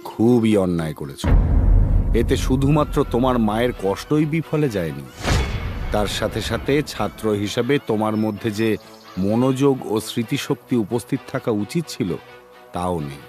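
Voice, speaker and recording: An actor voicing a strict older teacher in a cartoon, speaking sternly in a measured, lecturing manner. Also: male voice, fairly low pitch, lively intonation, lightly acted. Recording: music playing behind the voice.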